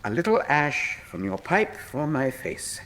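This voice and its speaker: A man's voice: medium pitch, very animated, unhurried, fairly bright tone, a little hiss or hum. A man playing a shrewd, commanding detective in a radio drama, with formal, precise diction and a superior but affectionate manner. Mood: commanding, intent.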